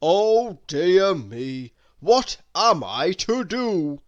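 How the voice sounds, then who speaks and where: A man voicing a jolly old Santa Claus in a children's storybook, big, loud and emphatic, with drawn-out words. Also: high voice, sing-song, dry recording, lo-fi sound, exaggerated voice. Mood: worried. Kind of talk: children's storybook read-aloud.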